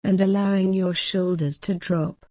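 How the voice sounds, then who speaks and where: A woman narrating a guided meditation in a measured, instructional manner, pausing gently between phrases. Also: fairly low pitch, steady tone, dry recording, clean studio sound.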